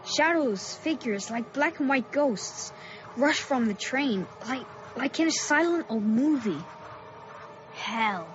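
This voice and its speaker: A woman playing a bold, defiant thirteen-year-old small-town boy in a radio drama, brash and excitable. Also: high voice, slow, slight background noise. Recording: dull lo-fi audio with music.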